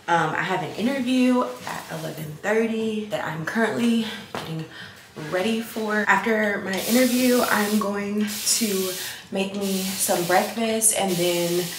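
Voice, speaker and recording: A young woman in her twenties chatting upbeat to the camera while she gets ready at home. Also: medium pitch. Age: 20s. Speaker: lifestyle vlogger. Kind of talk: casual vlog chat to camera. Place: apartment kitchen and bathroom. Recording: echoey room with background noise.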